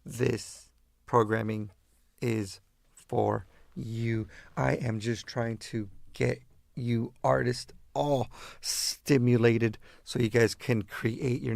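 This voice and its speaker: A man from East Los Angeles with an American accent, hosting a casual radio show as disc jockey in drawn-out, halting phrases. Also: mid-range voice, vocal fry, dry recording.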